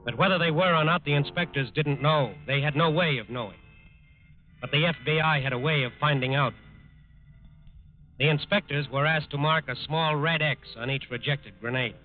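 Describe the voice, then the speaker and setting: An actor narrating a 1940s radio crime drama in a measured, emphatic, formal style. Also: male voice, a little high, soft background music, lightly acted. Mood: solemn, dramatic. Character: authoritative, solemn male crime drama narrator. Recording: tinny old-time radio broadcast.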